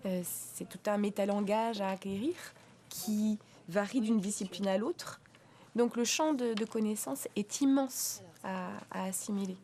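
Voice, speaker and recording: A woman teacher explaining in an interview, pausing often with fillers and restarting phrases. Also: mid-range voice, warm tone. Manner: hesitant, frequent filler pauses and restarts.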